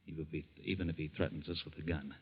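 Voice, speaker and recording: An actor in 1940s radio style playing a steady, chivalrous stranded man, firm, cautioning and weary. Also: very deep voice, fast talker, husky. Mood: hushed, protective. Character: Harvey, steady, protective, chivalrous man stranded without water. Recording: dull, lo-fi 1940s radio recording.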